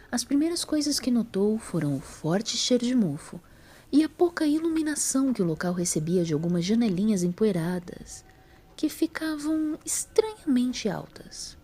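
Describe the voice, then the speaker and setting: A woman's voice: on the low side, mellow, breathy edge. A woman narrating a scripted story as the narrator of an audio drama.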